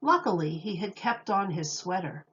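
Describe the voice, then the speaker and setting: A woman teacher reading a children's story aloud, expressively, with deliberate, emphatic phrasing. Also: on the low side, animated, fairly bright tone, muffled recording.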